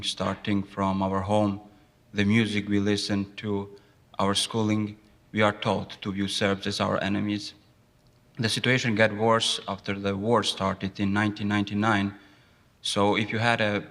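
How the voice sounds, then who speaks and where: Earnest man from Kosovo, slightly low voice. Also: flat delivery, moderate pace, a bit bright, long reverb tail. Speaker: interviewee. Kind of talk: formal interview testimony.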